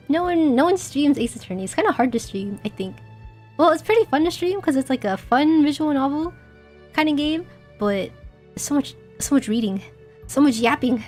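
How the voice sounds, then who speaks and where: High-voiced, hoarse, giggly woman streamer. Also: animated, moderate pace, a little mellow, faint music behind. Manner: giggly, chatty, crude jokes. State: hoarse, voice tiring. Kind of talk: casual gaming stream chat.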